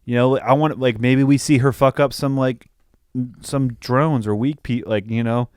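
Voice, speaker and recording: A man's voice: mid-range voice, steady tone, moderate pace, darkish tone, smooth, dry recording, clean studio sound. A young man co-hosting a podcast, chatting casually about films with slang and swearing. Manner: slangy, profane. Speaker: podcast co-host. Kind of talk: casual film review chat.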